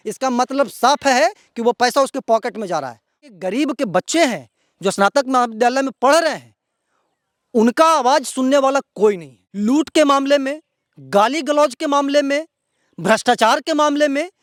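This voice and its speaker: A man's voice: very high voice, no echo, clean studio sound. A man with a Bihari accent presenting outspoken local news commentary to viewers in an indignant, emphatic, repetitive way.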